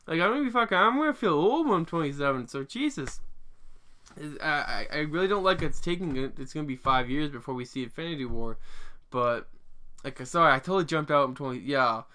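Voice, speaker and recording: Bright-voiced young man rambling casually. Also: fairly high pitch, animated, brisk. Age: 20s. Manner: rambling, many restarts and fillers, swears casually. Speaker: vlogger. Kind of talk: casual rambling opinion vlog.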